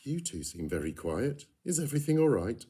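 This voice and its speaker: A man voicing a caring, brisk mother in a storybook. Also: mid-range voice, sing-song, warm tone, breathy edge, voice pushed up. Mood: gently curious. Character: impatient but caring mother.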